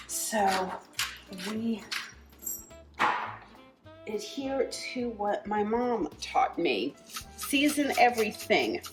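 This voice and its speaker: An American woman in her forties presents a casual home cooking demonstration as host, speaking haltingly with pauses. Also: fairly high pitch. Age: 40s. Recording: roomy kitchen echo with music. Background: music, sizzling food and stirring.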